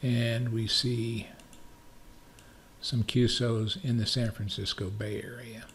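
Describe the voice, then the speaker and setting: A man with an American accent narrating a screencast software tutorial in a measured, methodical, step-by-step way. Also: medium pitch, little pitch movement, a little hiss or hum. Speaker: tutorial presenter, amateur radio operator.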